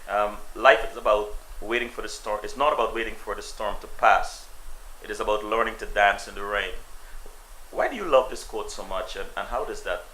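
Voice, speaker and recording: A man's voice: lowish voice, moderate pace, sharp tone, slight background noise, poor audio. A man interviewing a guest, asking questions with a few fillers and restarts. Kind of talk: conversational interview.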